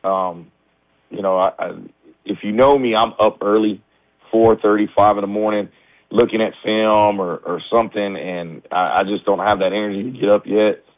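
Man, coach, slightly raspy after the flu, tired, fillers and restarts, dry phone-line sound. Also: fairly low pitch, fairly even intonation, moderate pace, dry recording. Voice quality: a little raspy. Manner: candid, many restarts and fillers. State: recovering from flu, low energy. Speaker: high school basketball coach. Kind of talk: phone-in radio interview.

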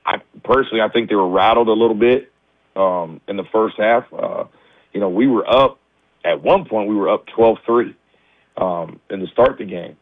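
A man, a high school basketball coach, talking candidly in a radio interview with lots of restarts; his voice is a little raspy as he recovers from the flu. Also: normal speed, no room sound. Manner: candid, many restarts and fillers. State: recovering from flu, low energy. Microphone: phone line. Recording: tinny dry phone line.

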